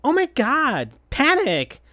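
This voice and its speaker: A reader voicing a small, kind young male fox who pleads softly and sounds worried. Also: medium pitch, sing-song, unhurried, dry recording, clean studio sound, voice pushed up. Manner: soft, pleading.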